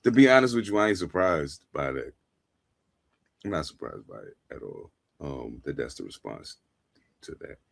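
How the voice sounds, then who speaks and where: A man on a community council speaking in a relaxed, frank, colloquial way. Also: very deep voice, normal speed, vocal fry.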